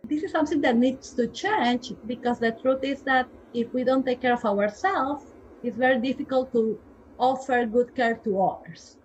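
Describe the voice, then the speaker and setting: Peruvian accent lady, 40s doctor on a podcast, higher voice, slow emphatic talk, bit of noise behind. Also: muffled recording. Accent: Peruvian Spanish. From Peru. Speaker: guest physician. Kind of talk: podcast interview.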